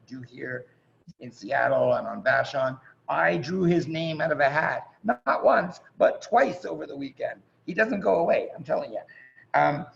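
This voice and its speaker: A man hosting a reading on a video call, introducing performers informally in an effusive, emphatic, halting way. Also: a little high, creaky. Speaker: host, director and acting teacher. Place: remote host on video call. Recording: muffled, noisy video call.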